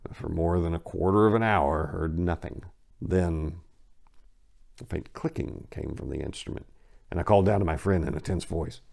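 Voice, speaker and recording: A man giving a theatrical, brooding dramatic reading of a first-person horror story, phrasing it deliberately. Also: low voice, creaky, darkish tone. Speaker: narrator.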